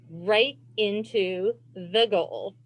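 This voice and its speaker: Lady reading a kids book out loud, expressive, swoopy pitch, close dry sound. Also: no echo. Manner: expressive, deliberate phrasing for children. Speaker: librarian and read-aloud host. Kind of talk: children's storybook read-aloud. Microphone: video call.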